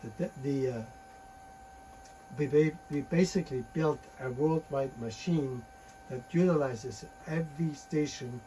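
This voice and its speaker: A man giving a technical conference talk from his slides, halting often with uh and restarting words. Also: fairly high pitch, warm tone, a little hiss or hum, low-quality recording. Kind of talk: technical presentation, reading from slides.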